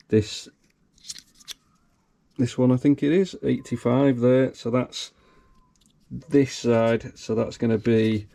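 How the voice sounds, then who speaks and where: A man with a slightly croaky voice, casually talking himself through wiring a car in his garage. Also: on the low side, dark tone. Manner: talks himself through each step, thinking aloud. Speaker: car hobbyist. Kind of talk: casual workshop commentary. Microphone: camera mic close to his hands.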